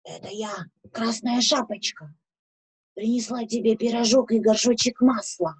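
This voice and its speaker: A woman's voice: on the high side, measured pace, darkish tone, husky. A woman voicing a sly, hungry male wolf who talks in wheedling disguise in a children's fairy tale.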